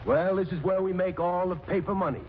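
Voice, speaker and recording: A man acts out a droll government clerk in an old radio comedy. His voice is high and he explains things obligingly, a little befuddled. The sound is thin, like a phone line, with noise. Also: steady tone, fairly quick, close to an everyday voice. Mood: droll, explaining. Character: obliging, slightly befuddled government money-printing official. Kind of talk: 1940s radio comedy acting. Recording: tinny, noisy 1940s radio recording.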